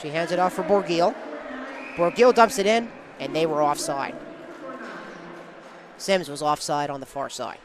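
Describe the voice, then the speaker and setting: High-pitched man calling live sports play-by-play. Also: fairly even intonation, measured pace, pauses often, crowd noise. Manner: describes action in short clipped phrases.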